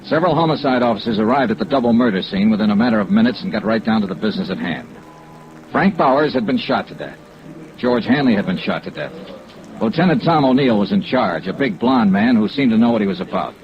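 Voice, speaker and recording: Male actor as tough radio detective, rapid clipped delivery, wry, matter-of-fact, muffled vintage recording. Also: fairly low pitch, expressive, a little hiss or hum, close to an everyday voice. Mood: wry, businesslike. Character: tough, wry freelance insurance investigator. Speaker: lead actor and narrator. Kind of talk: 1950s radio detective acting.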